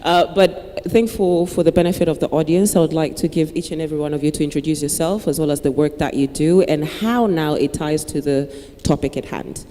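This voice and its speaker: A woman's voice: sits low, brisk, few pauses, mellow, slight background noise. Woman moderator, informal, laughs easily, hall reverb. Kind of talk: moderating a panel. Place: conference hall with audience.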